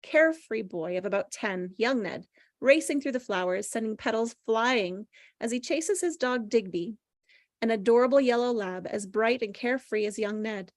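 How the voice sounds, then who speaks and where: A woman's voice: on the high side, expressive, normal speed, dry recording, clean studio sound. A woman taking part in a table read, chatting casually and reading scripted action descriptions. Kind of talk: casual chat and scripted narration.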